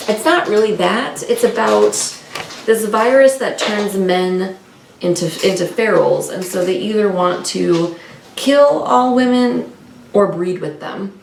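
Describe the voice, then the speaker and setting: A woman's voice: medium pitch, unhurried, creaky. A woman co-hosting a book vlog, chatting casually and informally in a rambling way, often correcting herself. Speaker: co-host of a book discussion vlog. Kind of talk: casual unscripted book chat. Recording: roomy, distant mic with faint noise.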